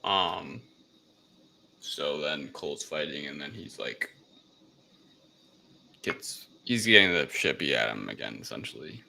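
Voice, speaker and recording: A man's voice: a bass voice, lively intonation, measured pace, vocal fry, long pauses, pushed and bright. A man co-hosting a review show, chatting through a recap in loose, informal phrases. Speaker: podcast co-host reviewing films. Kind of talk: casual chat recap. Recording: faint background noise.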